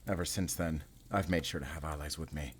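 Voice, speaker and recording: A man playing a brooding, world-weary werewolf hunter in an audio roleplay, speaking in a measured, solemn, introspective way. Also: extremely low pitch, fairly even intonation, husky. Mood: wry, relaxed. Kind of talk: cinematic audio roleplay acting.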